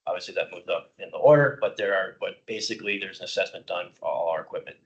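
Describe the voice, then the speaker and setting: A middle-aged man, slightly hoarse, presenting a parks budget plan at a public commission meeting, explaining informally with many "uh" fillers. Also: low-pitched, fast talker, muffled recording. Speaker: county parks department staff presenter.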